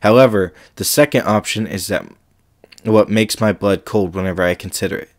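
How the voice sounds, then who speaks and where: A man's voice: on the low side, moderate pace. A male podcast host with a slight cold, chatting casually with frequent ums and then reading a scary story aloud.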